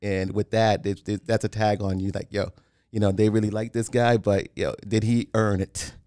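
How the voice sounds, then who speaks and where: A man from Haiti, a real estate developer talking as a podcast guest in a calm, plain-spoken way, often restarting. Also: lowish voice, normal speed, dry recording. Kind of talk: casual interview.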